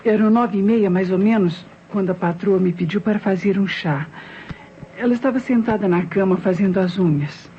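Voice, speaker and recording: A Brazilian actress playing a deferential, loyal housemaid in a radio drama, giving a respectful and earnest account. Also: female voice, mid-range voice, moderate pace, mellow, slight background noise, lightly acted. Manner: respectful, earnest, narrating step by step. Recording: dull old radio recording, music behind.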